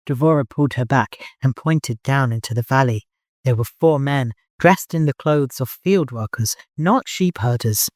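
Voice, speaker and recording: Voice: medium pitch, normal speed, no room sound. Audiobook narrator, dry close sound. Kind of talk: scripted literary narration with character dialogue.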